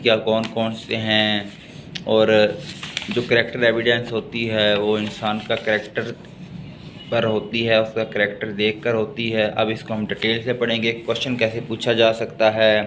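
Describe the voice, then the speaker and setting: A man's voice: mid-range voice, fairly bright tone. A man with a Pakistani accent giving a law lecture, methodically reading out notes and explaining them. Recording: clearly noisy background.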